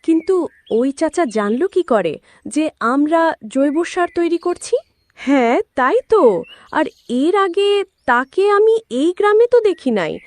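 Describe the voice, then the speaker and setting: Woman acting a higher-voiced, caring village wife. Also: big pitch swings, takes it slowly, no echo, heavily acted. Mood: curious. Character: devoted, devout village farmer's wife, caring and curious. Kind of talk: Bengali cartoon voice acting.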